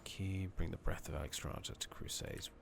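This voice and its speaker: A man giving soft-spoken ASMR game commentary, muttering casually to himself and often slipping into a whisper, on a noisy close mic. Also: low voice, fairly even intonation, moderate pace, creaky. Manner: murmuring, often dropping into whispers. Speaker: video game streamer. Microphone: makeshift-rigged new microphone. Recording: noisy close mic, slightly loud, clicking.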